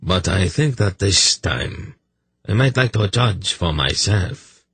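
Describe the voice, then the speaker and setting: A cold, aged fantasy hierarch , acted by a man, hollow and gravelly. He speaks low and slowly, with sardonic, non-committal authority. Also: animated, a bit bright, no room sound, full character voice. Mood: restrained displeasure. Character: cold, aged, corpse-like high priest, commanding and sardonic.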